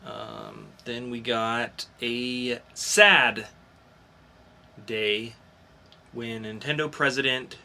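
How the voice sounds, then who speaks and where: A man's voice: medium pitch, vocal fry, sharp tone, slight background noise. A man hosting a podcast, talking casually about geek news in an informal, explanatory way with some swearing. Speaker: podcast host.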